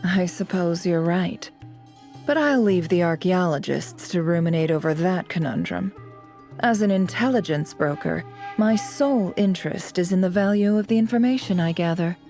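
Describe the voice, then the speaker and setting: Woman acting cool info broker lady in a game, lowish mellow voice, measured, faint music. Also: natural voice. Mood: composed. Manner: measured, businesslike. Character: cool, calculating adult female intelligence broker, composed. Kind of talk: video game story dialogue.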